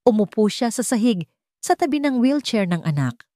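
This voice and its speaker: A woman's voice: fairly high pitch, very animated, moderate pace, mellow, no room sound, clean studio sound. A woman narrating a scripted dramatic story as its storyteller.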